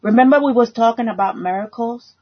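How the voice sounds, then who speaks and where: Husky native-Spanish woman giving testimony. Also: on the high side, brisk. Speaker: church member sharing a testimony. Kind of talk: spontaneous personal testimony. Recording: muffled lo-fi audio.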